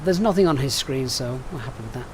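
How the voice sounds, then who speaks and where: A man's voice: medium pitch, expressive, fast, a little hiss or hum. Chatty Sheffield man briefing a flight. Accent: Sheffield English. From Sheffield, England. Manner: methodical, chatty, reads out viewer comments. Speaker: flight sim streamer. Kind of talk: live-stream briefing and chat.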